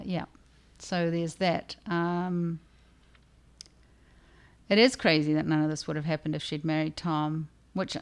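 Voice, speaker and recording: Low, creaky-voiced woman chatting casually. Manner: conversational, thinking aloud. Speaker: author and livestream host. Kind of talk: casual discussion with readers.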